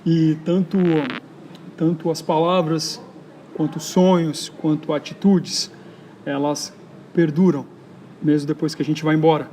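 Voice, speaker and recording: Reflective São Paulo poet, mellow voice. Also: male voice, a little high, flat delivery, a little hiss or hum, poor audio. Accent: São Paulo Brazilian Portuguese. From São Paulo, Brazil. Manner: reflective, halting, frequent hesitation fillers. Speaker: poet and writer, interview guest. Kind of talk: casual interview conversation. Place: outdoors in a park.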